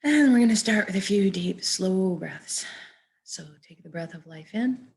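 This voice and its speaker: A woman leading a guided meditation, speaking in a gentle, measured, soft way as she gives soothing instructions. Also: medium pitch, lively intonation, vocal fry.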